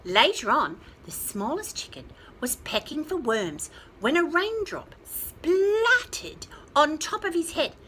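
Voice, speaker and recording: A woman's voice: high-pitched, big pitch swings, slow pace, few pauses, pushed and bright, a little hiss or hum. A woman storyteller reading aloud expressively to small children, with chatty asides along the way.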